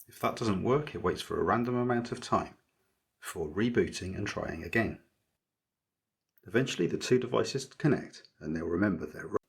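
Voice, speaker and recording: Man, low-pitched voice, husky and rough, scripted tech tutorial narration, measured explanatory delivery. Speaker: maker presenting an electronics project.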